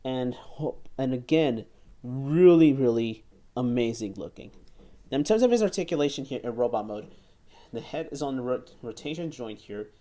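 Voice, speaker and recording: A man in his twenties giving a casual, enthusiastic hands-on review, often halting and repeating words. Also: mid-range voice, lively intonation, dark tone. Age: 20s. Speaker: toy reviewer. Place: small bedroom, indoors.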